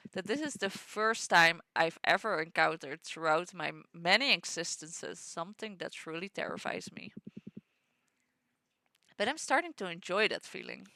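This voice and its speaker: A woman's voice: on the low side, expressive, measured pace, pushed and bright, no room sound, heavily acted. A woman with a stuffy nose reading the lines of a mocking ancient card-reading being, teasing and lecturing. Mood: wry.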